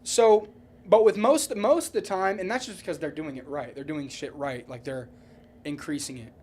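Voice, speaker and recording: High-pitched man, emphatic and swearing. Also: normal speed, a little mellow. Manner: emphatic, repetitive, stumbling into restarts, frequent swearing. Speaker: podcast co-host. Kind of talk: casual argumentative chat about movies.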